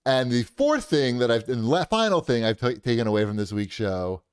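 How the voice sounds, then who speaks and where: A man co-hosting a podcast, talking through his points in a casual, analytical way with a deep voice. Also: animated, normal speed, clean tone, dry recording, studio quality. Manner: analytical, with frequent restarts. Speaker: podcast co-host. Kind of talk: casual chat.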